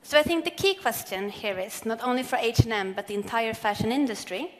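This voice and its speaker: A woman's voice: a little high, lively intonation. Woman giving a keynote in a hall. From Sweden. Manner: measured, earnest presenting. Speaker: corporate sustainability speaker. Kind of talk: prepared keynote speech read from notes. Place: large event hall on stage. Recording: long hall reverb.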